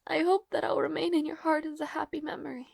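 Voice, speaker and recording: A woman playing a devoted, lovelorn young maid in an intimate fantasy audio roleplay, speaking formally and haltingly, tearful and earnest, with soft breathy moments. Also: sits high, flat delivery, no echo, clean studio sound. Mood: sorrowful farewell.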